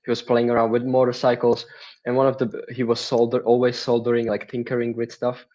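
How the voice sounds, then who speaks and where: A young man from the Czech Republic with a Czech accent, a native Czech speaker, answering interview questions remotely in a halting way. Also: mid-range voice, monotone, dark tone, lo-fi sound. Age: young adult. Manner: halting, with restarts and fillers. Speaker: guest, mindset coach.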